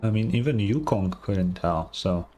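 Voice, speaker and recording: A man's voice: lowish voice, lively intonation, normal speed, dark tone. A man streaming a game, giving casual, offhand reaction commentary with blunt swearing over game music.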